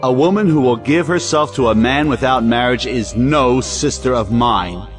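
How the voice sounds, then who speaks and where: An actor playing a domineering, hot-tempered moralizing brother in an audio play, commanding and blustering. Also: male voice, mid-range voice, normal speed, soft background music, lightly acted. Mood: angry. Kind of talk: audio play acting of an old stage drama.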